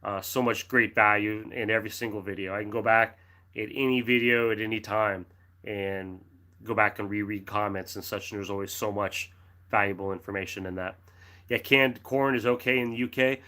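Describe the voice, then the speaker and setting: A middle-aged American man with a lowish voice and a bright, forward tone hosts a casual livestream. He chats in a friendly, rambling way and reads viewer comments aloud. His throat is dry, he has a cough drop in his mouth, and he keeps clearing his throat and restarting his sentences. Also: fairly even intonation, normal speed. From United States. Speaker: livestream host reading chat. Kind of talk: casual live chat.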